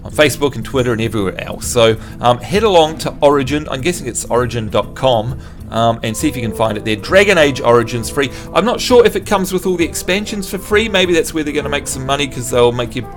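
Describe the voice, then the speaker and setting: A man's voice: mid-range voice, lively intonation, fast, faint music behind. A man from New Zealand chatting casually with his live-stream viewers, playful and teasing as he quizzes them. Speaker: game streamer.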